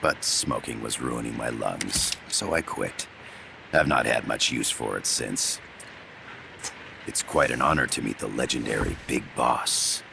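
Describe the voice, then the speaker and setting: An actor playing a calm, soft-spoken older male professor who is secretly a spy, in a video game. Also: noisy background, exaggerated voice. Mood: quiet. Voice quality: very deep and gravelly. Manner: soft-spoken, measured, quietly persuasive. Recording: game cutscene with loud music behind.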